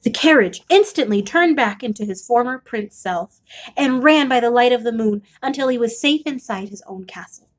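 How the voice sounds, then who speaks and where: A woman reading a children's picture book aloud as an expressive storyteller. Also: a little high, moderate pace.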